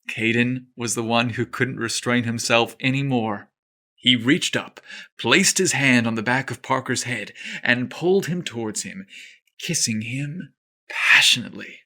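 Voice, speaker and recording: A man hosting and narrating stories in a playful, expressive, teasing way. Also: medium pitch. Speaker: podcast host and narrator. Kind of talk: scripted story narration with playful chatty asides.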